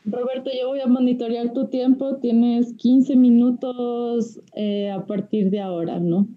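A woman moderating a conference panel, formal in manner with frequent filler pauses. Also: a little high, steady tone. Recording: dull, slightly lo-fi audio.

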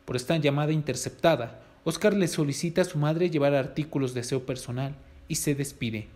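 A man's voice: fairly high pitch, brisk, a little mellow. A man with a Mexican accent reading scripted news narration.

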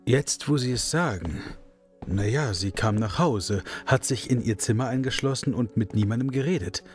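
A man's voice: medium pitch, normal speed, soft background music, close to an everyday voice. A man playing a mild, hesitant middle-aged father of a missing girl in an audio drama.

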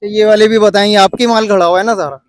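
A man in his thirties from Pakistan with a Karachi Urdu accent, filming a casual walkthrough of an outdoor cattle market on his phone and pressing sellers for lower prices. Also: steady tone, fast talker, dry recording. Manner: chatty, pushes for a lower price. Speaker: vlogger.

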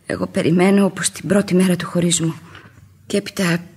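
A very breathy, husky young woman, romantic and steadfast. She speaks earnestly and lyrically, in theatrical radio drama manner. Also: lowish voice, flat delivery, darkish tone. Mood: wistful, steadfast. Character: devoted romantic unmarried young woman awaiting her fiancé. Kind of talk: radio theatre acting in Greek.

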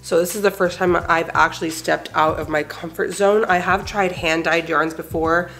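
A woman's voice: on the low side, steady tone, moderate pace, fairly bright tone. A young woman in her twenties making a casual, chatty crafting vlog at home, enthusiastic and full of fillers. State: head cold, nasal. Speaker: crochet vlogger. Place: bedroom and living room at home.

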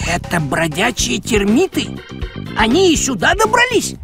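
A man's voice: very high voice, wildly swooping pitch, measured pace, vocal fry, exaggerated voice. A man playing a sensible, scheming talking house cat in a cartoon, drawling and bossy. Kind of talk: animated cartoon acting. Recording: music under the voice.

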